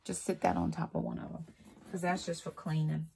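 A woman's voice: on the low side, level tone, fairly quick, creaky, dark tone, a little hiss or hum. A woman in her forties chatting casually to camera as a home vlogger, upbeat and saying y'all, filmed in a walk-in closet at home.

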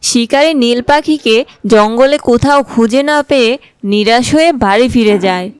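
A woman's voice: fairly high pitch, moderate pace, clear, resonant tone, dry recording, faint music behind. A woman reading scripted narration for a fairy tale.

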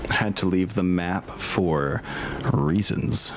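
Low-voiced male game master, wry and mock-formal, narrating dice rolls over prominent music. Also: slow. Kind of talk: narrating a tabletop war game on stream. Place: indoors at home, online group call. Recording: music playing behind the voice.

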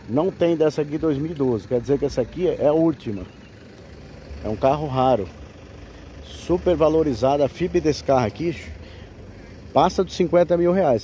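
A man's voice: a little high, dark tone. A Brazilian man giving a casual, repetitive sales patter as a used car broker filming a walkaround for viewers. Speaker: used car broker presenting a vehicle for sale. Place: outdoors at a roadside car fair. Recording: outdoor street noise, faint traffic.

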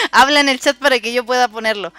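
Chilean woman streamer, bright and crisp, slangy and giggly, dry close mic with faint music. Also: sits high, expressive, brisk, no echo. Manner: chatty, laughs often, slangy. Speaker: streamer host. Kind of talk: casual voice-chat talk.

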